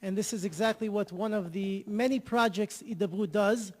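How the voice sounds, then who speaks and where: A man's voice: high voice, normal speed, darkish tone, big-room sound. A man telling an enthusiastic story as a guest on an interview show, often repeating himself. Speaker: guest, charity organizer.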